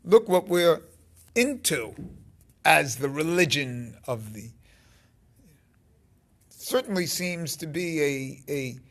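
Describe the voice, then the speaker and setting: A man in his fifties with a fairly high, creaky, gravelly voice talks slowly into a phone held close. He rambles through a spiritual teaching in a halting way, leaning hard on certain words. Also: lively intonation. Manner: halting, rambling, emphatic stresses. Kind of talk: informal vlog talk on spirituality. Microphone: phone held close at arm's length.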